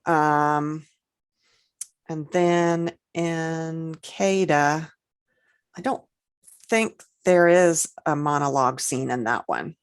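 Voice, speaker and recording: A woman co-hosting a casual podcast discussion, talking haltingly as she thinks aloud. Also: sits low, clean tone. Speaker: podcast co-host.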